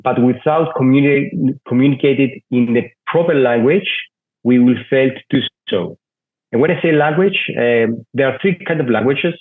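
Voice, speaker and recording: A man whose first language is Greek, speaking slowly and deliberately at a panel discussion, often searching for words. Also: medium pitch. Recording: tinny phone-like call audio.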